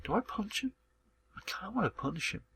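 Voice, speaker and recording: A man's voice: high-pitched, sing-song, fast talker, bright, forceful tone, husky. A man hosting a gaming video, casually commenting on a visual novel with plenty of swearing. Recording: music behind the voice.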